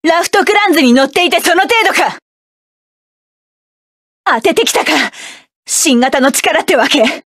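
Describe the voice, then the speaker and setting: Proud hardened mech pilot woman, high voice, clipped barks, dry studio sound. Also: expressive, unhurried, pauses often, no room sound. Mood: battle-ready. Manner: sharp, self-assured, clipped combat barks. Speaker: game character. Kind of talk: game battle voice lines.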